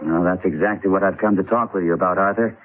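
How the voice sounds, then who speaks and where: An actor playing an earnest, devoted suitor with a husky voice in a 1970s radio drama. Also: male voice, low voice, fast, soft background music, natural voice. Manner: earnest, sincere. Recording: muffled, phone-like old radio broadcast.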